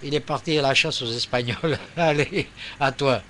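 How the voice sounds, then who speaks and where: A man's voice: medium pitch, normal speed, background noise. A man in a casual radio chat as an amateur operator, rambling and searching for words.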